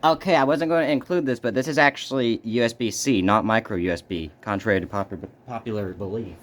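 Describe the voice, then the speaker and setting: A man hosting a casual, unscripted unboxing video, speaking haltingly and muttering to himself as he goes. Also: lowish voice. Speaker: video host doing an unboxing.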